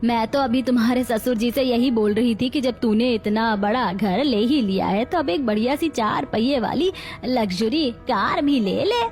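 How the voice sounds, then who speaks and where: Scheming middle-aged mother-in-law played by a woman, high voice, flattering and chatty, moral-story drama. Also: moderate pace, faint music behind, close to an everyday voice. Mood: gushing. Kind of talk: acted Hindi family story dialogue.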